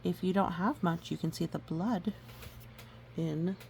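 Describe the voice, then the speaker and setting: A woman reading a storybook aloud gently and adding educational asides. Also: lowish voice, a little slow, vocal fry. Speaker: storybook reader. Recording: faint background noise.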